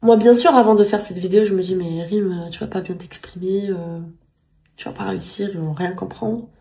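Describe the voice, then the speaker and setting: A woman in her thirties whose first language is Spanish talks earnestly to her viewers from home. Her voice is mellow and warm with a gravelly edge, and she often repeats words while she searches for her phrasing. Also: medium pitch, fairly quick. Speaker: vlogger. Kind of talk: casual talk to camera.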